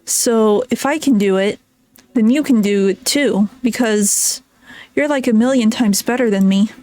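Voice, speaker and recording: A woman reading a gentle, caring teenage girl in a soft, halting voice. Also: fairly high pitch, no echo, lightly acted.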